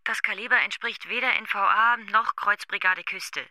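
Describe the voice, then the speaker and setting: A very sharp, bright-voiced pathologist in a radio drama. She is curt and evasive, answering in clipped, guarded phrases in a dry sound. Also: mid-range voice, normal speed, dry recording, poor audio. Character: curt, evasive East German woman pathologist.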